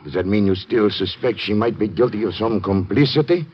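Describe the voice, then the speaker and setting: An actor playing a courteous, formal intelligence major in an old radio drama, speaking in a measured way. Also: male voice, lowish voice, animated, slight background noise, natural voice. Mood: formal, skeptical. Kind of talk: 1950s radio drama acting. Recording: muffled 1950s radio recording.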